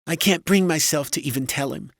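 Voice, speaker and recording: Man voicing an anxious, high-pitched, long-suffering wife. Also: a little fast, creaky, no room sound, studio quality. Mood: meek, subdued. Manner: plaintive, fretful, higher-voiced.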